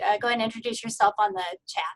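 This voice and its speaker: A woman's voice: fairly high pitch, steady tone, rapid-fire, a little hiss or hum. A woman from Texas leading an online class, friendly and chatty, often laughing as she welcomes students over a video call. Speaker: course instructor.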